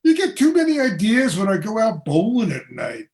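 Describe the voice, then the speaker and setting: An actor playing a dismissive, skeptical working-class husband in a radio play, brusque and slangy. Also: male voice, high voice, huge pitch swings, normal speed, a little mellow, full character voice. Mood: scoffing.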